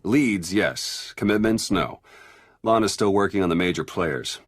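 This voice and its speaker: A pragmatic intelligence agent for a video game. His voice is notably low and closely recorded, matter-of-fact with a wry touch. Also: lively intonation, measured pace, dry recording. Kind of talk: video game cutscene acting.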